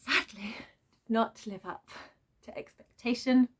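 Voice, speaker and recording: A woman's voice: on the high side, unhurried, slight background noise. Emphatic woman ranting about her opinions. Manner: emphatic, expressive, informal. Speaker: book reviewer vlogger. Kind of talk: unscripted opinionated rant to viewers.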